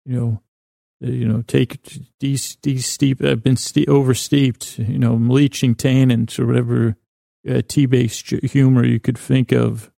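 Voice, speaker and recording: A low-voiced man hosts a sleep podcast with a creaky, mellow delivery. He meanders through half-finished thoughts in a halting, self-deprecating way, often doubling back on himself. The sound is close and dry. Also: level tone, no room sound. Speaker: podcast host. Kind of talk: rambling bedtime podcast intro monologue.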